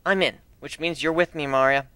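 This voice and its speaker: A man's voice: a little high, steady tone, a little fast, pushed and bright, smooth, no echo, pitched above the performer's own voice. A man voicing a confident young female fighter who talks in a breezy, coaxing, reassuring manner. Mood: decisive.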